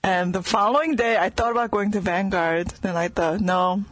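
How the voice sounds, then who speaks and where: A woman's voice: medium pitch, normal speed, no room sound. A middle-aged woman from South Korea with a Korean accent, a guest organist in a casual radio interview who laughs often and makes small grammar slips. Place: radio studio.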